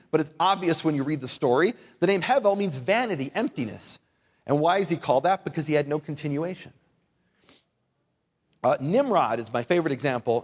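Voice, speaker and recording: A man who is a native English speaker, giving an informal Bible lesson to a live audience in a conversational, wryly humorous manner with rhetorical questions. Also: fairly high pitch. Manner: conversational, wry humour, rhetorical questions, asks listeners to repeat words. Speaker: Bible teacher and lecturer.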